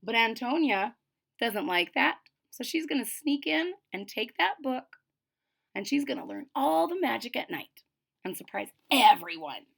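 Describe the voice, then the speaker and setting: A woman reading a story aloud for children, expressive and teacherly, pausing to explain it simply. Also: a little high, creaky. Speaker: storybook reader.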